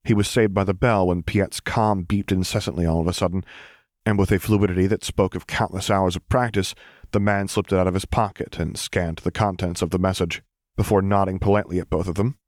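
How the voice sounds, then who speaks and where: A man's voice: low voice, steady tone, brisk, darkish tone, no echo, studio quality. A man reading scripted fiction as an audiobook narrator.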